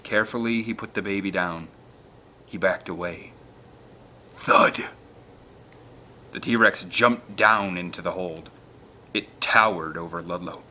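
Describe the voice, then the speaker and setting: A man who hosts and narrates audiobook readings in an expressive, dramatic way, at times speaking casually to listeners. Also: low-pitched, slow, pauses often, a little hiss or hum. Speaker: narrator and channel host. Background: film score music.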